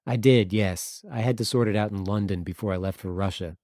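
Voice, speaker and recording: A man's voice: lowish voice, brisk, darkish tone, no echo, studio quality, lightly acted. A man voicing an easygoing, evasive journalist in an audiobook, matter-of-fact and understated. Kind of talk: audiobook character dialogue.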